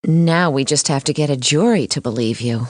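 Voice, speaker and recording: A woman voicing a composed, serious defense lawyer in a cartoon comedy, with a measured manner. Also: very deep voice, slight background noise. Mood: concerned. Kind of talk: animated comedy courtroom dialogue.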